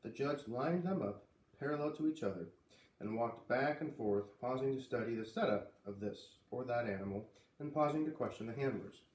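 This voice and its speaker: A man's voice: mid-range voice, lively intonation, moderate pace, a little mellow, far from the mic, slight background noise. A man reading a children's storybook aloud expressively, acting out the dialogue lightly. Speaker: reader of a children's book read-aloud series. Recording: roomy, voice away from the microphone.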